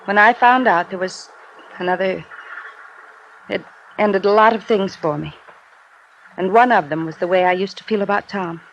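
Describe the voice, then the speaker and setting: A faintly hissy vintage radio recording of a woman acting a devoted, wounded American wife. Her mid-range voice is earnest and wistful, halting as she goes, in the style of 1950s radio drama. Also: mid-range voice, lo-fi sound, lightly acted. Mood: wistful, resigned. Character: hurt but devoted wife of a fugitive embezzler.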